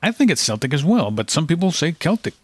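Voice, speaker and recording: A man's voice: a little high, sing-song, fast talker, husky, no echo. A man of about fifty joining a casual group conversation with teasing banter. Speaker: interview participant.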